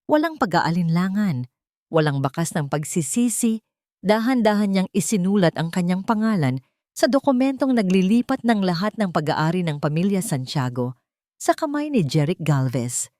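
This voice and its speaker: A woman's voice: on the low side, sing-song, moderate pace, dark tone, no echo, clean studio sound. A woman narrating a scripted dramatic story in a solemn, melodramatic manner.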